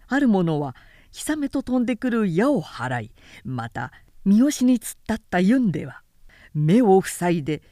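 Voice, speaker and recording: A woman narrator reads a historical tale at a slow pace. Her pitch moves widely in a dramatic, measured way. The sound is close and dry. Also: dark tone, no room sound. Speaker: narrator reading literature aloud. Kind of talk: scripted narration of a historical novel.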